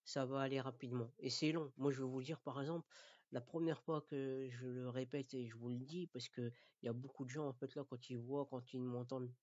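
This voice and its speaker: A man from France giving an informal lesson as a Quran recitation teacher, halting and repeating his words, often checking that listeners follow. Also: mid-range voice, steady tone, darkish tone, no echo, highs cut off. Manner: didactic, checks understanding. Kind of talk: informal spoken lesson addressed to learners.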